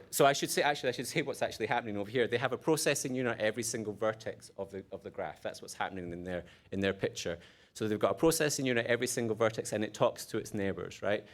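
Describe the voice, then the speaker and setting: A man with an Edinburgh Scottish accent giving a technical conference talk. He often restarts phrases and checks in with the audience. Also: medium pitch. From Scotland. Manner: animated, restarts phrases, checks in with "right?" and "okay?". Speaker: conference speaker.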